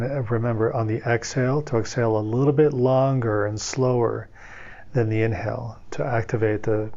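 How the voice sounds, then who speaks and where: A man leading a guided meditation as its teacher, speaking gently and soothingly, in an unhurried way with long pauses. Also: medium pitch, dull audio.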